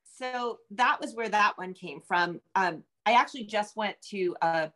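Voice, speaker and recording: A woman's voice: medium pitch, unhurried, bright, forceful tone. American woman thinking aloud in conversation. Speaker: guest novelist. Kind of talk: casual interview chat. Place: indoor room at home, video call.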